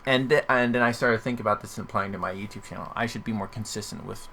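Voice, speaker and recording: A man chats casually as a podcast host over faint background noise. His voice is bright and forward, with a heavy fry running through it. He rambles and questions his own points as he goes. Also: on the low side, quick pace.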